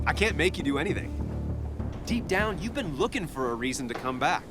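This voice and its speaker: An actor playing a teasing yet supportive inner-voice companion in a video game, with a wry, coaxing manner. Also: male voice, fairly high pitch, big pitch swings, brisk, loud music behind the voice. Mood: coaxing, reassuring.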